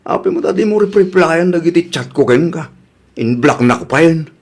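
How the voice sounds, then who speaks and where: A man playing a lovelorn, jealous young ex-boyfriend in an Ilocano Filipino radio comedy, with a husky voice. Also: on the high side, lively intonation, moderate pace. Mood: lovesick, pleading. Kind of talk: comedy radio drama acting.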